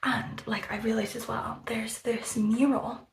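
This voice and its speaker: A woman chatting casually about a book on her vlog. She rambles with many fillers, swears freely and often drops into a breathy half-whisper. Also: on the high side, level tone, a little slow. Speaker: book vlogger. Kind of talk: casual book review chat. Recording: roomy room echo, faint background noise.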